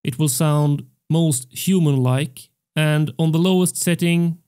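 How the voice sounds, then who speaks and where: A young man hosting a music production tutorial, explaining step by step in a measured way into a close studio mic. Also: on the high side, steady tone, a little mellow, clear, resonant tone. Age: young adult. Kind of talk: tutorial narration. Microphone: close studio microphone on a stand.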